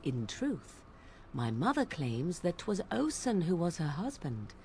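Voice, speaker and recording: An actress playing an aloof, sardonic young witch in a video game, speaking in formal, archaic, measured phrases. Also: fairly low pitch, very animated, warm tone, slight background noise. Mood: measured storytelling. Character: aloof, sardonic young witch of the wilds, raised an outcast apostate. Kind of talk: dramatic video game voice acting.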